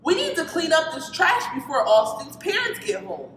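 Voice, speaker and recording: A woman voicing an eager, excitable young girl in a children's storybook, with an exclamatory, questioning manner. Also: very high-pitched, normal speed, pitched above the performer's own voice, heavily acted. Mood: pleading. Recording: reverberant hall with background noise.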